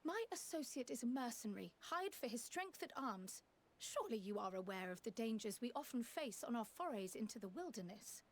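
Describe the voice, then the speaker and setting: High-pitched, self-assured scholarly heroine. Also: female voice, sing-song, a little fast. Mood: diplomatic, persuasive. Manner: polite, articulate, composed. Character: small, bright, self-assured scholarly female leader. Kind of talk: scripted fantasy game dialogue. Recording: orchestral music behind the voice. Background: game music.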